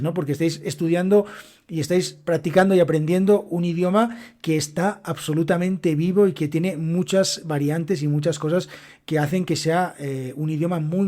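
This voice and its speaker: A man's voice: on the high side, warm tone. A young man with a Castilian Spanish accent, hosting a solo Spanish-learning podcast and explaining things directly to his students. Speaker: podcast host and Spanish teacher. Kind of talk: solo educational podcast monologue.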